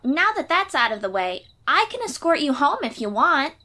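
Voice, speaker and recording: Woman acting a bright, high-pitched young sea-dweller girl warrior. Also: moderate pace, heavily acted. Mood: friendly, confident. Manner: perky, informal, expressive. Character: confident thirteen-year-old sea-dweller girl warrior, cheery and chatty. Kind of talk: fantasy audio roleplay addressed to the listener.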